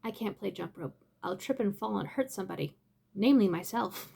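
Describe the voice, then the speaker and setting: A woman's voice: mid-range voice, expressive, a little fast, creaky, mellow, slightly rough, exaggerated voice. A woman playing a chatty, silly robot who hosts a playful children's story time with sing-song exclamations and asides. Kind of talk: children's read-along with asides.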